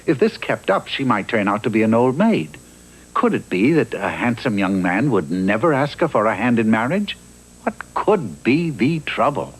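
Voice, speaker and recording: A man with a medium-pitched voice narrates in an arch storybook manner. He is theatrical and mock-earnest, on an old TV soundtrack with faint noise. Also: big pitch swings, normal speed, poor audio.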